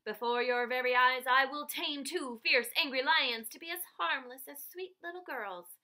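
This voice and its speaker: A woman voicing a father as a theatrical circus ringmaster, announcing in a showy, drawn-out way for a storybook. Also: sits high, pushed and bright. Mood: showy, grand.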